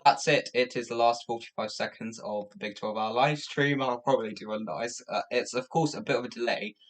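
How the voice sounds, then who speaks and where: A young man from Latvia, a native Russian speaker, chatting casually as a livestream host in a rambling, halting way. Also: mid-range voice. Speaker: livestream host and youth charity campaigner. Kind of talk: casual livestream chat, thanking viewers.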